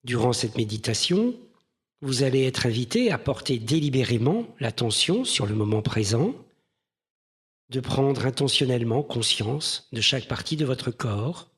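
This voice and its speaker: A man leading a guided mindfulness meditation in a gentle, measured manner with pauses between phrases. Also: medium pitch, slightly rough.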